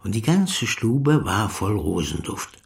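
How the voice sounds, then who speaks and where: Slow, low, creaky man reading. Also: sing-song, dry recording, studio quality. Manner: measured, deliberate. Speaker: narrator, reader. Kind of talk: scripted narration, reading aloud. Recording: slightly distant microphone, soft music.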